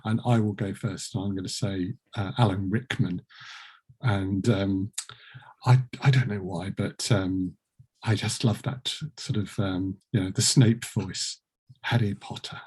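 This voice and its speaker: A man's voice: deep voice, steady tone, a little slow, a little mellow, breathy edge. A man hosting a casual panel interview, hesitant and rambling with a joking streak. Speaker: host.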